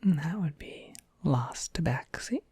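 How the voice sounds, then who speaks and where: An ASMR audio creator speaking in a hushed, breathy whisper, softly thanking supporters. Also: mid-range voice, lively intonation, unhurried, no room sound.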